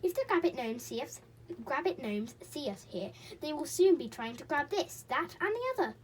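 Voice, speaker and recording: A woman's voice: sits high, lively intonation, moderate pace, recorded from a distance, a little hiss or hum. A woman reading aloud and voicing a small, nervous, clever male pixie in a children's storybook.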